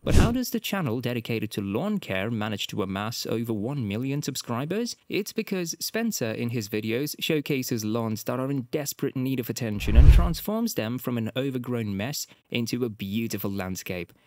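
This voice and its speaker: A male narrator reads a scripted documentary voiceover on a close studio mic, with an upbeat, informative storytelling delivery. His voice sits at a middle pitch for a man. The sound is dry, with no room around it. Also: lively intonation, moderate pace, few pauses, dark tone, dry recording.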